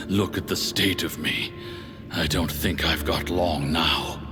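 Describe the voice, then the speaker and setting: An actor playing a confused, failing immortal warrior in a video game, halting, dazed and weakened. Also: male voice, low voice, over loud music. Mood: weary, resigned. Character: confused, failing male yaksha warrior, weary, haunted.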